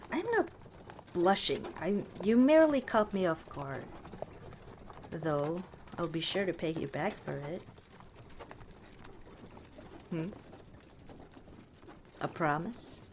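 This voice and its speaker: Woman as a slow-speaking, low-voiced orc warrior wife. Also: lively intonation, noisy background. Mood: playful, warm. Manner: unhurried, tender, halting. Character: battle-scarred orc warrior wife, proud yet softening. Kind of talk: ASMR roleplay, intimate one-sided dialogue. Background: outdoor ambience, horse hooves.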